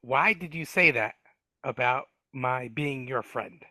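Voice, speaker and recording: A man's voice: medium pitch, slow pace, bright, forceful tone, dry recording, clean studio sound. A man voicing a grumpy, cowardly male dwarf who talks in broken grammar, read over a video call. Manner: gruff, ungrammatical speech. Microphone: home mic on a video call.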